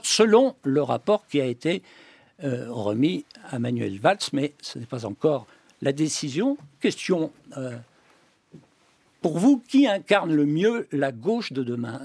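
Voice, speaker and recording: Man, radio host, husky, fairly high voice, brisk direct questions, dry studio. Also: darkish tone, no echo.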